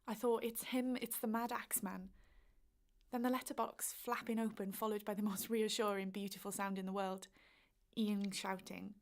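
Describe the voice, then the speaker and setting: An actress plays a jumpy woman neighbour with a vivid imagination. She speaks at a middle pitch, telling her story as a monologue in a radio play. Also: level tone, moderate pace, lightly acted. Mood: wry, recounting alarm.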